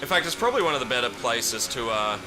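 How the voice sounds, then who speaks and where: Chatty Australian streamer over engine noise. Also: male voice, mid-range voice, sing-song, fast. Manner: rambling, chatty, swears casually, answers chat. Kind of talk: casual live-stream chat while racing. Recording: racing game audio behind voice. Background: game engine noise.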